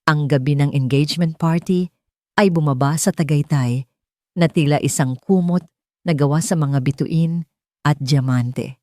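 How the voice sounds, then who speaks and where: A woman's voice: sits low, unhurried, a little mellow, no echo, clean studio sound. A woman with a Filipino accent reading a dramatic story as a scripted narration. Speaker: audiobook narrator.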